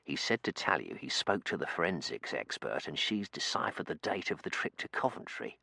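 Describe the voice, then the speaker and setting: A man's voice: deep voice, sing-song, normal speed, vocal fry, bright, forceful tone, no room sound, exaggerated voice. A man voicing a formal, anxious English butler in an audiobook, deferential and careful. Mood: discreet, nervous. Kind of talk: audiobook character acting.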